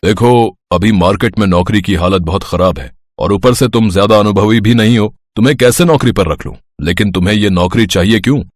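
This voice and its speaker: A man voicing a businesslike, stern office boss in an audio story, deliberate and authoritative. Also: on the low side, no room sound, natural voice.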